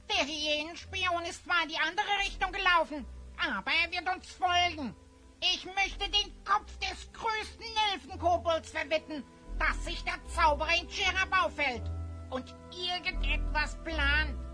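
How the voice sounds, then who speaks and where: Casting: a tiny knowing creature sidekick, piercing high voice, emphatic storyteller, fantasy radio play with music behind. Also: female voice, sharp tone, heavily acted. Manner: emphatic, know-it-all storytelling. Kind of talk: German fantasy audio drama acting.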